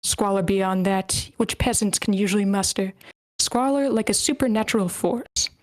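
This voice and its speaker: A woman's voice: mid-range voice, moderate pace, natural voice. A woman playing a haughty, disdainful rich noble in a screenplay table read over an online call.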